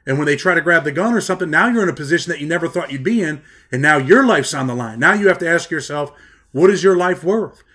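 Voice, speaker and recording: Male host, thirties, slightly high voice, earnest, video call. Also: animated, fast, a bit bright, husky. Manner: earnest, drives home lessons for young viewers. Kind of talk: interview questions and commentary. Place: indoors, plain room, video call.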